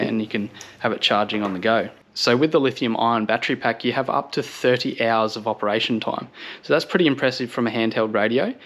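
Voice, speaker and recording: A man's voice: mid-range voice, a bit bright. An Australian man giving a casual product review to camera in an upbeat, approving manner.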